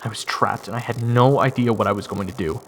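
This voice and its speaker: A man's voice: fairly low pitch, brisk, slight background noise. A man giving first-person dramatic scripted narration as the hero of a fantasy audio roleplay. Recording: long reverb, music under the voice.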